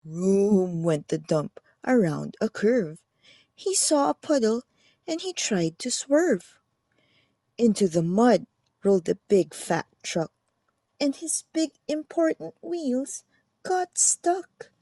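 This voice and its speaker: A woman narrating a children's picture book aloud with expressive, deliberate phrasing. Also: medium pitch, dry recording. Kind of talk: animated storybook read-aloud.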